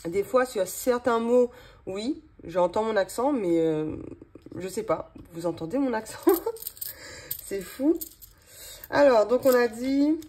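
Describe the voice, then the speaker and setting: A woman's voice: mid-range voice, mellow. A woman in her thirties with a regional French accent, chatting casually and rambling with many fillers while going through her monthly budget. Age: 30s. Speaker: budgeting vlogger. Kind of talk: casual chat while doing a cash-envelope budget.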